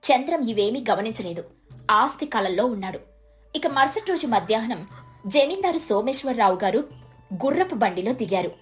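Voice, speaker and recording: Casting: female narrator for moral tales, high voice, faint music underneath. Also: sing-song, normal speed. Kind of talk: scripted moral story narration.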